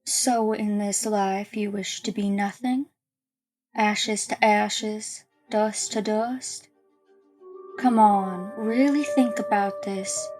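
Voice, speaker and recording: A woman playing a stern, philosophical schoolteacher in an audio drama, with a measured, didactic delivery. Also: mid-range voice, steady tone, darkish tone, faint music behind. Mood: lecturing.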